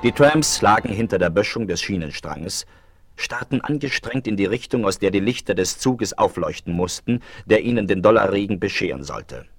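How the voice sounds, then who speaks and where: A man's voice: lowish voice, no echo, clean studio sound. A man narrating dramatically from a script, as the storyteller of a German radio play. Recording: noisy 1970s vinyl rip.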